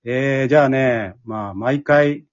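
A man hosting a casual chat, chatty and quick to respond to the others. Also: mid-range voice, dull audio. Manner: chatty, backchannels often, laughs readily. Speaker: host and MC of an after-talk.

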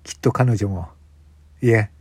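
A man's voice: fairly low pitch, measured pace, husky, no room sound. A man playing a polite, earnest young suspect in a radio drama, devoted and unrepentant. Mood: calm conviction.

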